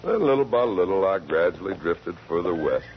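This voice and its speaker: A lively, low-voiced folksy cowhand. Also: male voice, a little fast. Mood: easygoing. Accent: rural Western American. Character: folksy, easygoing male ranch hand, polite and deferential. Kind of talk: 1940s radio drama acting. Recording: dull, noisy 1940s radio recording.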